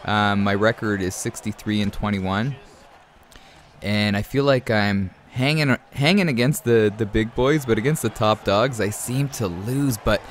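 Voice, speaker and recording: Young guy with a cold talking through his game footage, lowish voice, slight hiss behind. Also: measured pace. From Canada. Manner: chatty, calls viewers man and guys. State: has a cold. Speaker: gaming commentator. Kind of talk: casual gameplay commentary.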